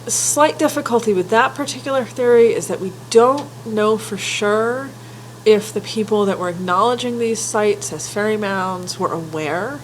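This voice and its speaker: A woman with a stuffy head cold giving an informal spoken lecture, careful and candid, often pausing with ums and restarting her sentences. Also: medium pitch, slight background noise, rough recording. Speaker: folklore writer and teacher.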